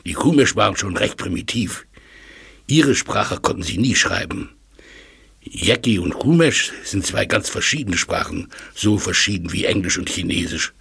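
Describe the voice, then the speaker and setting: A man playing a learned, absorbed professor in a German audio drama, musing and explaining with thoughtful pauses. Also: on the low side, expressive, gravelly, sharp tone, breathy edge, heavily acted. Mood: lecturing. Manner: musing, lecturing, hesitant pauses. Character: elderly learned male language professor, absorbed and astonished.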